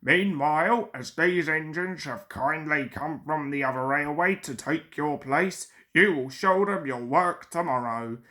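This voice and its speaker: A woman's voice: low-pitched, unhurried, hardly any pauses, fairly bright tone. A woman voicing a stern, pompous male railway boss giving orders in a storybook. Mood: commanding. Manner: stern, pompous, bellowing at times. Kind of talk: storybook character voice.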